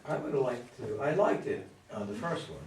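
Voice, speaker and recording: A man's voice: medium pitch, sing-song, normal speed. A man giving his opinion in a casual group discussion. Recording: echoey room, distant mic, clear noise.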